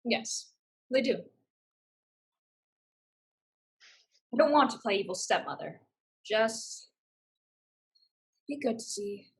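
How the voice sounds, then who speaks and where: Actress as husky, fierce elder sister. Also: female voice, on the high side, lively intonation, a little slow, heavily acted. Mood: protective, stern. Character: fierce, protective elder sister, shrewd pirate woman. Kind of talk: staged play reading.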